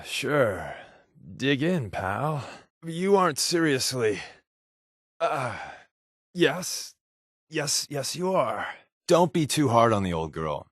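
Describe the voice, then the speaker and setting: A man's voice: medium pitch, no room sound, studio quality. A man with an American accent playing a sly, wisecracking resistance spy in a video game, in a wry, sarcastic, conversational way. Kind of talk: video game character dialogue.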